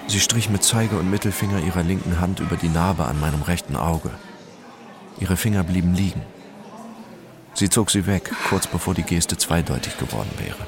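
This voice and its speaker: A man's voice: sits low, moderate pace, a crowd in the background. A man playing a detached, brooding narrator who tells his own story in a matter-of-fact literary radio drama style. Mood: detached, introspective. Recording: music bed behind the voice.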